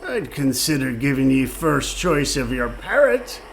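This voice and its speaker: An actor playing a gruff, sly pirate ship's cook in a comic radio play, with a gravelly voice and salty dialect. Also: male voice, medium pitch, very animated, a little slow, slight background noise. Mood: gruff bossy. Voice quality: gravelly, creaky.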